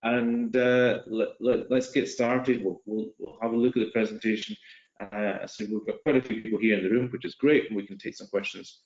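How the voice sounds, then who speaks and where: Hesitant webinar host in an echoey room. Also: male voice, lowish voice, fairly even intonation, normal speed, darkish tone. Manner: hesitant, many fillers and restarts. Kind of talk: introducing a guest on a live webinar. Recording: echoey lo-fi video call audio.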